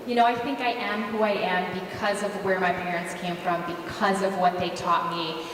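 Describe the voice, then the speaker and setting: A woman's voice: lowish voice, flat delivery, moderate pace, recorded from a distance. A woman born in the US whose first language was Polish, answering earnestly on a conference panel with many fillers. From United States. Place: large conference hall. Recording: echoey hall, mic from afar, noisy.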